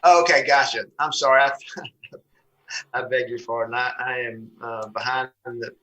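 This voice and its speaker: Bright-voiced man chairing a meeting. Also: mid-range voice, steady tone, moderate pace, muffled recording, slight background noise. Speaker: board chair. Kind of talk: informal public meeting talk.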